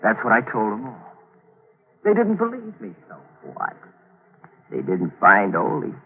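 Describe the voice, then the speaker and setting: An unsettled man confessing in a 1940s radio thriller. His delivery is intense and highly animated, rising and falling sharply. The sound is narrow and tinny, like an old broadcast. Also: unhurried. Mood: guarded, brooding. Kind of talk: 1940s radio acting, dramatic narration. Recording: scratchy, phone-thin 1940s radio broadcast.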